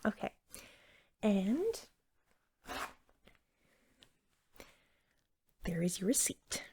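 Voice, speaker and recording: A woman acting as a friendly young-sounding shop cashier in an ASMR roleplay, with a creaky edge to her soft voice. She drifts between gentle speech and whispering, rambling helpfully and eagerly as she serves a customer. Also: mid-range voice, very animated, slow, slight background noise. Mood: friendly, chatty. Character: eager, friendly female cashier at magical pet store.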